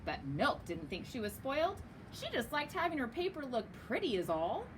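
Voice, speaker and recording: A woman reading a children's story aloud in a very expressive, emphatic narrating style. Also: high-pitched, moderate pace, slight background noise, rough recording. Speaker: storyteller reading a picture book.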